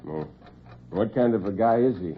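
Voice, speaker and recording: A man's voice: on the low side, animated, normal speed, a little hiss or hum, voice pushed down, natural voice. A man playing a subdued, apologetic ex-convict brother in classic radio drama. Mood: subdued, uneasy. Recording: tinny, narrow-band old radio recording.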